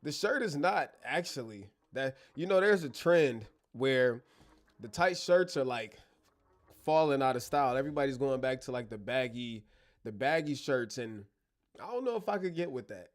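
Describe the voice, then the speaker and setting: A man's voice: mid-range voice, animated, normal speed. A man hosting a casual live Q&A stream from his bedroom studio, talking straight to his chat in a slangy, joking way, on a clip-on mic. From born in Germany, American. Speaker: livestream host. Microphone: wireless clip-on mic.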